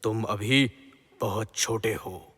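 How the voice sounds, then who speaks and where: A man acting a grave, righteous middle-aged king and father in a mythological audio drama, speaking in formal, measured pronouncements. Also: lowish voice, a bit bright, husky, exaggerated voice. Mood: tender, grave. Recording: long hall-like reverb.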